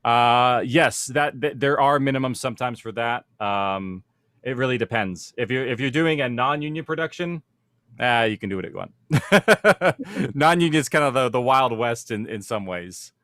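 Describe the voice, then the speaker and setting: A man's voice: mid-range voice, moderate pace, a bit bright, no room sound, studio quality. A man speaking as a producer on a panel, explaining practical matters informally and conversationally, thinking aloud as he goes.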